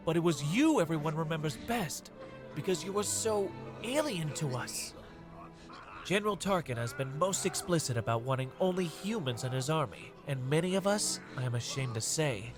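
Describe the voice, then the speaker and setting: A man's voice: on the high side, lightly acted. A man playing an earnest, grateful and humbled army officer in a dramatic comic dub, sincere and reflective.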